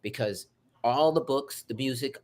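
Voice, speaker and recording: Effusive, animated man talking. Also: medium pitch, unhurried. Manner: effusive, animated, swings loud and soft. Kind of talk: energetic interview conversation.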